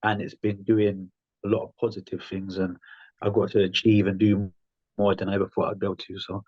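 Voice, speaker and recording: A man's voice: lowish voice, flat delivery, fairly quick, breathy edge, no echo, highs cut off. A man in his thirties, a podcast guest, talking frankly in loose, rambling phrases with plenty of "um" and "like". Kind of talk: conversational interview.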